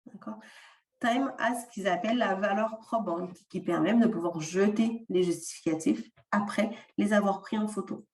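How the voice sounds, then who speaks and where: A woman's voice: medium pitch, moderate pace, roomy sound, distant mic. A woman with a slight non-French francophone accent presenting an online workshop on tools for freelancers, explaining with frequent fillers.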